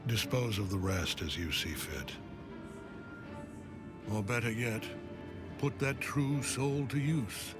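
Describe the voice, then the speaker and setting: An actor playing a cold, commanding, weary older general in a fantasy game, measured and disdainful. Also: male voice, mid-range voice, over music, close to an everyday voice. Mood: quiet menace. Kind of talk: dramatic fantasy game acting.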